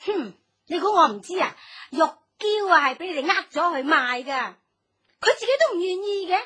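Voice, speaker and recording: Shrill, scolding brothel madam. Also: female voice, expressive, normal speed. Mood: scornful scolding. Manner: sharp, indignant, exclaiming. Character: shrewd, scolding brothel madam haggling over a purchase. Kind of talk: Cantonese radio drama acting.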